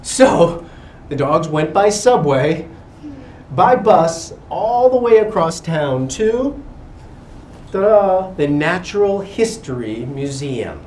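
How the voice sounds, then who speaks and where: A man giving an expressive picture-book reading to children, pausing for little asides. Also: fairly high pitch. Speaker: guest reader at a school. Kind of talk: animated picture-book read-aloud to children. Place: indoor school room with children. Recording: roomy, distant mic with background noise.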